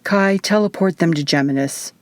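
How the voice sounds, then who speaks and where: A woman voicing a huge, menacing, bad-tempered man in an audiobook, gruff and growling. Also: fairly low pitch, normal speed, dry recording, close to an everyday voice. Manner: growling, grumbling.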